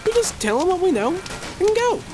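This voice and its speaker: A woman's voice: very high voice, brisk, background noise, voice pushed up. A woman voicing a cocky eighteen-year-old male rookie constable in a fantasy audio story, brash and casual. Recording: steady rain behind the voice.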